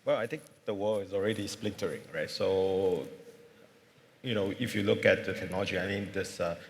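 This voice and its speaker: A man from Singapore with a deep voice talks through his ideas on a conference panel, circling back with fillers and restarts. His voice carries the long echo of a big hall. A faint murmur of ambience sits underneath. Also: normal speed, vocal fry, slight background noise. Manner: thoughtful, many fillers and restarts. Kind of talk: panel discussion.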